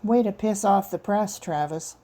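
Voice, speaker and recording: A woman's voice: mid-range voice, moderate pace, mellow, close to an everyday voice. A woman voicing a wry, unflappable male police detective in a dry, understated manner.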